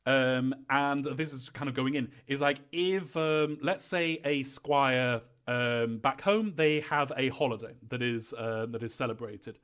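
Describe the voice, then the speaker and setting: A man answering fan questions in an informal live Q&A, thinking aloud in a halting, rambling way, as a developer. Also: medium pitch, a bit bright, dull audio.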